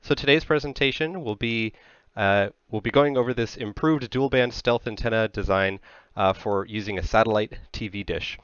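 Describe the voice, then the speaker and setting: Technical presenter, American male, 30s, measured explainer delivery, dry close headset sound with slightly muffled top end. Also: lowish voice, fairly bright tone, clean tone, no echo.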